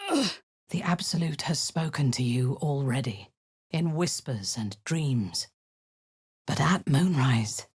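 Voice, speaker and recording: An imperious, husky warrior-commander. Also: female voice, dry recording, clean studio sound, heavily acted. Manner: low, husky, half-whispered menace. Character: cold, imperious woman warrior-commander, zealous cultist. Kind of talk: video game character acting.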